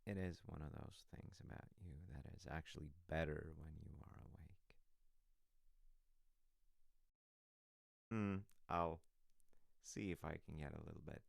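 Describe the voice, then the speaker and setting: A man's voice: extremely low pitch, gravelly, no room sound, studio quality, heavily acted. A man playing a loving, sassy, sheepish husband in an intimate ASMR roleplay, rambling to a sleepy partner with teasing, hesitant asides. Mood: teasing, affectionate.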